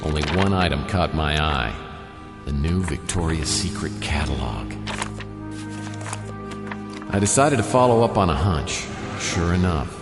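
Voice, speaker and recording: An actor playing a wisecracking private detective down on his luck, narrating a noir radio drama in a dry, deadpan way. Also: rumbling low. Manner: deadpan, wry. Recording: echoey long reverb, music underneath.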